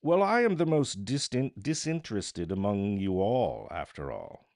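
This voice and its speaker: Man acting a bored, fat, flippant gentleman. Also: on the low side, sing-song, unhurried, no echo, studio quality. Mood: jovial, callous. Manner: bored, offhand, jocular. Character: great fat big-chinned gentleman, idle, callously flippant.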